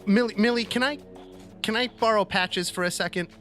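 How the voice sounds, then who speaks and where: Guy playing an rpg, bright kinda high voice, halting casual improv, quiet music behind. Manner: hesitant, halting phrasing. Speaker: tabletop game player. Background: faint music.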